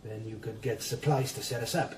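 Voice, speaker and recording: An actor playing a controlled, quietly threatening criminal financier in a radio thriller, speaking with measured, businesslike menace. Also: male voice, medium pitch, steady tone, slight background noise, lightly acted. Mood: businesslike, menacing. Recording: echoey, distant, faint background ambience.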